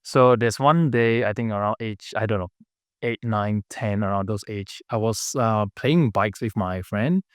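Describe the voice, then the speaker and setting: A man from Malaysia telling his story as a podcast guest, earnest and halting, with many fillers and restarts. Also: on the low side, no room sound, clean studio sound. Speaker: podcast guest, business founder. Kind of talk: casual interview storytelling.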